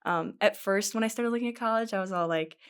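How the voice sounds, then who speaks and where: Chatty young nursing student. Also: female voice, medium pitch, fast, clean tone. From western New York, USA. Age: young adult.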